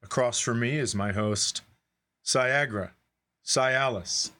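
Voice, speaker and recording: A man's voice: medium pitch, slow, bright, forceful tone. A man with an American accent hosting a podcast in casual comedic banter, joking, teasing and profane.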